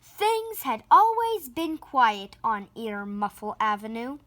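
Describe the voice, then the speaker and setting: A woman reads a children's picture book with theatrical expression. Her high voice swings widely in pitch and moves very slowly, savouring the sound words. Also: big pitch swings, a bit bright, no echo. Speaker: storybook reader.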